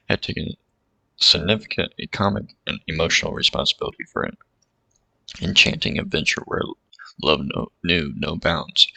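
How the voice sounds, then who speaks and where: A man's voice: low voice, vocal fry, bright, forceful tone, dry recording, exaggerated voice. A man reading as a driven young inventor. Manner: reads the story text aloud in first person. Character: determined young software inventor, cat owner. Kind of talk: reading visual novel dialogue aloud. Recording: dull lo-fi audio with faint game music.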